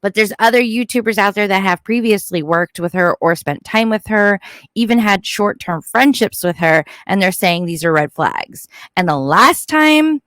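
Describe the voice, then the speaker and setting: A woman's voice: mid-range voice, animated, normal speed, fairly bright tone, no room sound, studio quality. A woman giving casual, opinionated true crime commentary in a blunt, informal way.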